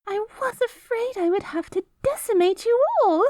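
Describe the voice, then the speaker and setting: High, pompous, vain queen. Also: normal speed, darkish tone, dry recording, studio quality. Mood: grand, self-important. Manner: pompous, theatrical, mock-archaic diction. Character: haughty, vain, self-righteous good queen, secretly cruel. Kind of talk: scripted fantasy audio roleplay.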